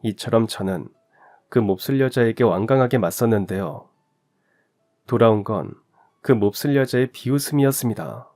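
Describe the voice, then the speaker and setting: A man's voice: on the low side, lively intonation, normal speed, a little mellow. A man reading a first-person story aloud in an earnest, confiding storytelling manner. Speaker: story narrator. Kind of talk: scripted first-person narration.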